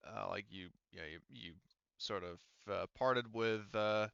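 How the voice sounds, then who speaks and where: A man running a tabletop game as game master, explaining things casually and haltingly. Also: lowish voice, vocal fry, a bit bright, smooth, no room sound, muffled recording.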